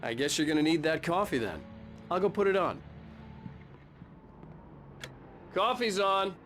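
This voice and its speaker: A man's voice: on the high side, expressive, a little fast, a bit bright, faint music behind. An actor playing an earnest, intense man, a writer devoted to his wife, in dramatic game acting. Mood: warm, reassuring.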